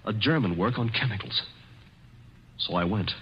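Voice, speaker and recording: A man's voice: fairly low pitch, moderate pace, slightly rough. An actor playing a proud, struggling man in a 1940s radio suspense play, speaking with dry, restrained defiance. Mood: grim recounting. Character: proud, defiant struggling younger man, a guest who hates his host. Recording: muffled, hissy 1940s radio recording.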